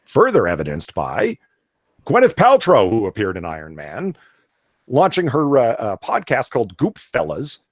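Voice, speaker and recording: A man's voice: mid-range voice, moderate pace, dry recording, studio quality. A man hosting a casual live panel chat, opinionated and talking in bursts with frequent restarts. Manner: opinionated, animated, often restarts. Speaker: podcast host.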